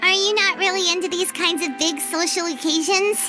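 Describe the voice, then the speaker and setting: Game fairy sidekick: childlike, squeaky, very high, excitable, clear background music. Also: female voice, moderate pace. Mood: curious. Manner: refers to self in third person, exclamatory. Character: small excitable childlike female fairy companion. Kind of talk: video game character acting.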